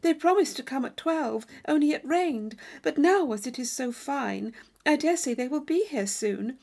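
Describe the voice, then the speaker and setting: A woman reader acts out a naive young lady from a classic storybook. Her voice is high and swoops with eagerness, earnest, wondering and pleading. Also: lively intonation, moderate pace, vocal fry, darkish tone. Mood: hesitant, anxious.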